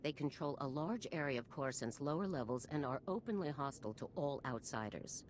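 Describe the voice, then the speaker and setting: A woman's voice: expressive, a little fast, a little hiss or hum. An actress voicing a stern older female general in a video game, with a clipped, authoritative, formal manner. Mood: stern, businesslike. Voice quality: low-pitched.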